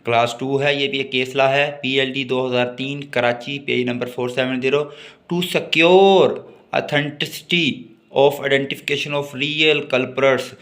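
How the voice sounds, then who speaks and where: A male lecturer with a Pakistani accent speaks at a slow pace in a mid-range voice. He reads from his notes in a measured, didactic way, then explains each point as a teacher would to students. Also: mid-range voice, fairly bright tone. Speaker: law lecturer.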